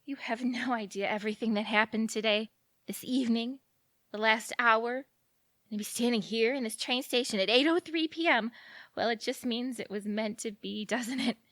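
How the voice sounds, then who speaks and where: An actress playing a giddy, lovestruck romantic woman in an audio drama, gushing and dramatic and quick to take offence. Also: a little high, fairly bright tone, no room sound, close to an everyday voice. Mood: giddy excitement. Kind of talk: audio theatre acting.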